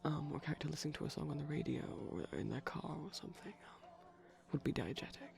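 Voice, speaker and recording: Soft creaky-voiced ASMR rambler, often whispering. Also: mid-range voice, lively intonation, fast talker, music in the background. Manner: rambling, explanatory, frequently dropping to whispers. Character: shy pastel vampire boyfriend, gently geeky. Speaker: ASMR performer, playing a shy boyfriend character. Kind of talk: soft rambling ASMR commentary over a movie. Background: TV playing in the background.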